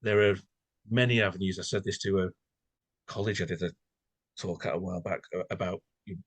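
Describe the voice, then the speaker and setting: A man's voice: sits low, fairly even intonation, brisk, breathy edge, dry recording. A man co-hosting a podcast in casual, reflective chat, earnest and slightly florid in his wording. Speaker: podcast co-host.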